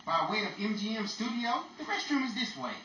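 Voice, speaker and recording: A man's voice: high-pitched, big pitch swings, a little fast, bright, forceful tone, full character voice. A man playing an exasperated, bossy straight man in an old time radio style comedy. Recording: roomy echo, distant mic, faint noise.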